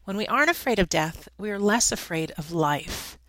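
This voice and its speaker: A woman's voice: medium pitch, animated, normal speed, a bit bright, dry recording. A woman hosting an interview podcast, upbeat and emphatic as she introduces and questions her guest. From Connecticut. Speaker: podcast host.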